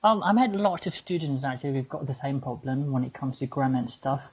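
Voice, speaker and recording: A woman's voice: a bass voice, quick pace, breathy edge, dull audio. A woman with an Indonesian accent, a co-host and former English mentor, chatting casually and often repeating words as she searches for phrasing. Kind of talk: casual podcast chat.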